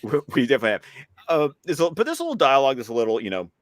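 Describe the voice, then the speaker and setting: Male co-host, playful casual commentary, expressive voice that rises and falls a lot. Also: mid-range voice, very fast, no echo, clean studio sound. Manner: animated, jokey, playful asides. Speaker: co-host of a watch-along stream.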